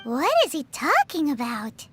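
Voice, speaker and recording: A woman voicing a tiny childlike fairy companion in a video game, squeaky, chatty and cheeky. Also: sing-song, measured pace, music in the background. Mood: puzzled, blunt. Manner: refers to self in third person, cheeky.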